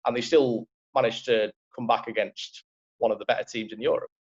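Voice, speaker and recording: A man's voice: mid-range voice, steady tone, brisk, dull audio. A man with a Liverpool accent, a football writer talking football in a casual podcast chat, rambling with many fillers.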